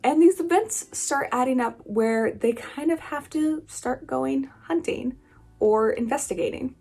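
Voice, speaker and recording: A woman's voice: high-pitched, measured pace, dark tone, slightly rough. A woman hosting a book vlog, talking in a casual, chatty style with informal, emphatic asides. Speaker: book reviewer and channel host. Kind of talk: casual chatty video monologue.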